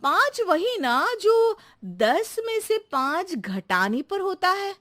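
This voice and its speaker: A woman's voice: extremely high pitch, big pitch swings, slow pace, a bit bright, exaggerated voice. A woman playing a teasing, exasperated housewife who scolds her maid in a comic cartoon story. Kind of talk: comic animated story dialogue.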